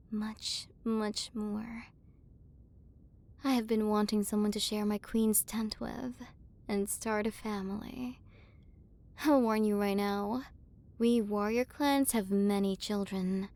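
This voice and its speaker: A woman playing a proud, flustered tsundere warrior queen with a secretly tender side, in an intimate ASMR roleplay. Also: mid-range voice. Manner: haughty but flustered, softening into intimate murmurs. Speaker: voice actor. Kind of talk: ASMR romantic roleplay acting.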